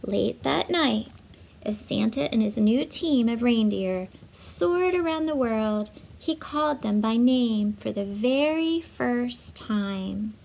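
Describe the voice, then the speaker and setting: A woman reading a children's story aloud in an expressive, measured way, with asides to young listeners. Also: a little high, creaky, a little hiss or hum, low-quality recording. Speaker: storybook reader for schoolchildren.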